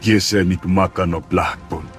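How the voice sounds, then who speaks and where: A man playing a steady, serious fighter in an animated series fan dub. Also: deep voice, slow, music in the background.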